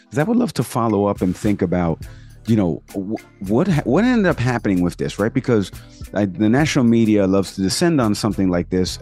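A man's voice: sits low, a little mellow, no echo. An American man co-hosting a podcast, giving casual news commentary in an emphatic way, often rephrasing his points. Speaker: podcast co-host.

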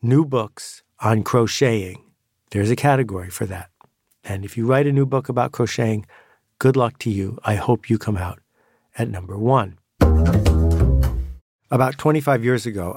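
Male podcast host, gravelly, dry studio sound, reflective monologue. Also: fairly low pitch, normal speed, a little mellow, no echo.

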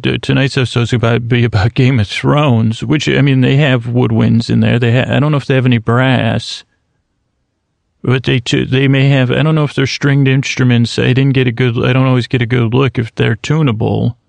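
A man hosting a podcast, musing in a self-mocking, deadpan way and wandering off on long tangents. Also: medium pitch, creaky, dry recording. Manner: goes off on rambling tangents. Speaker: podcast host. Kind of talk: rambling solo podcast intro.

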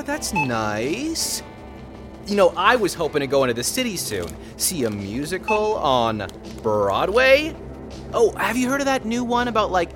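Bubbly, rambling American cashier, animated delivery. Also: female voice, lowish voice. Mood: chatty, upbeat. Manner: bubbly, rambling, filler-heavy small talk. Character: chatty, oblivious small-town store cashier, young woman. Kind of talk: audio drama acting.

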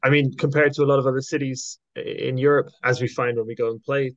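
A man's voice: mid-range voice, level tone, quick pace, dry recording. A man speaking as a podcast guest, chatting casually in a hesitant, jokey way, with dull sound. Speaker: podcast guest, Gaelic games coach. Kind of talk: casual interview chat. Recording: dull, muffled audio.

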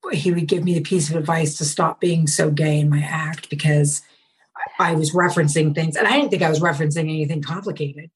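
A woman from the United States hosting a casual interview, talking in a rambling way with many pauses and restarts. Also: deep voice, fairly even intonation, a little hiss or hum. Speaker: podcast host, comedian.

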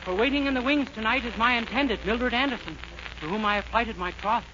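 Earnest vaudeville suitor, very high voice, courtly and florid, dull vintage radio sound. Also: male voice, fairly quick, background noise. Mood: solemn devotion. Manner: florid, formal melodramatic delivery. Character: earnest, gallant vaudeville star, courting his sweetheart. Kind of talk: 1940s radio sitcom acting.

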